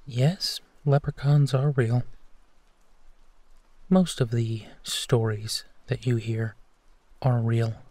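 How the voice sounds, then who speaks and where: A man playing a gentle, protective werewolf who tenderly reassures his partner in an intimate bedtime roleplay, leaving long pauses. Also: mid-range voice, steady tone, no echo. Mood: gently wry. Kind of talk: intimate roleplay audio, soft bedtime comfort.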